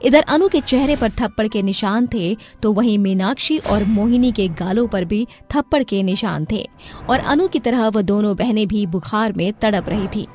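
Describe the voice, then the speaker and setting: A woman's voice: medium pitch, moderate pace, darkish tone. Woman narrating a story over music. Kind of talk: scripted dramatic story narration. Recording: music behind the voice.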